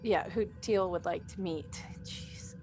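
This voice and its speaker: A woman's voice: on the low side, slow pace, darkish tone, in a whisper. A woman in a casual group chat, reading and answering fan questions in a playful way.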